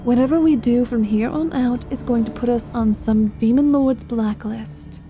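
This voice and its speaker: A woman's voice: on the high side, normal speed, dark tone, faint music behind, natural voice. A woman playing a wry, foul-mouthed adventurer and reluctant hero in an intimate ASMR fantasy roleplay. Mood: wry, troubled.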